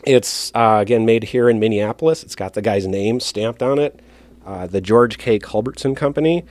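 A man's voice: on the low side, moderate pace, a little mellow, no room sound. A man explaining things in a casual, conversational way as a record collector and DJ giving a hands-on demonstration. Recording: music playing behind the voice. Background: old records playing.

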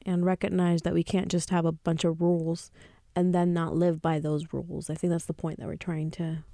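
A woman's voice: fairly low pitch, level tone, a little fast, vocal fry, mellow, no room sound, clean studio sound. A woman from the Dominican Republic, a parenting expert on a podcast, explaining earnestly with some restarts. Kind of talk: podcast discussion.

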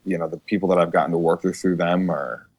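A man with a low, deep voice talks in a casual podcast conversation. He speaks as a musician guest, in everyday informal language. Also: fairly even intonation, fast, darkish tone.

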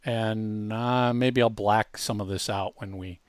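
A man co-hosting a casual software demonstration, talking informally and emphatically, straight to the listeners. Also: on the low side, fairly even intonation, takes it slowly, smooth, no echo, clean studio sound. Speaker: co-host demonstrating an online tool.

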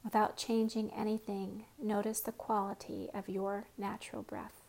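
Woman meditation guide, soft and often whispered, gravelly, flat, unhurried. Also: mid-range voice, poor audio.

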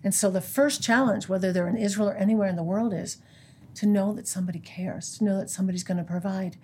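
American lady in her 60s, warm kinda breathy voice, earnest guest talk. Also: medium pitch, fast talker. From United States. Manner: earnest, quotes scripture. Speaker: guest, pro-life organization leader. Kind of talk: conversational interview.

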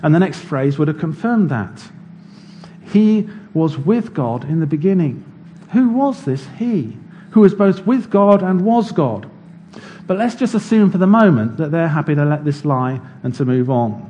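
A man delivers a sermon in a measured, explanatory way, walking his listeners through scripture step by step. His voice sits a little high for a man, with a warm, mellow tone. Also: big pitch swings, highs cut off, a little hiss or hum. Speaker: preacher. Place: church.

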